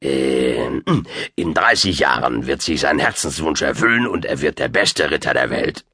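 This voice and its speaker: A man voicing a muddled, well-meaning old wizard in an audiobook, halting and full of ums and chuckles. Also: rumbling low, animated, sharp tone, slightly rough, no room sound, studio quality, voice pushed down, exaggerated voice. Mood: absent-minded. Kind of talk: audiobook character voice.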